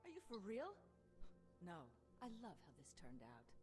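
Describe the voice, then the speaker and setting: An actress playing a tough, defiant assassin held prisoner in a video game, blunt and scornful. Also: female voice, fairly low pitch, huge pitch swings, normal speed. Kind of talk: video game character acting. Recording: faint music behind the voice.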